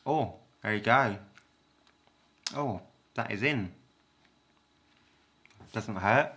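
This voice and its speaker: A man with a bright, crisp-edged voice that sits a little low talks casually to the camera. He is unscripted and hesitant, working out his thoughts aloud in the way of a home reviewer. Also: very slow, a little hiss or hum. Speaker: vlogger reviewing a product.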